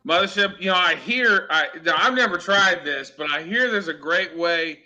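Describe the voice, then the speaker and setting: A man's voice: high-pitched, normal speed, pushed and bright, highs cut off. A man in his forties in a casual group chat, joking and swearing. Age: 40s. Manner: laughing, joking, profane.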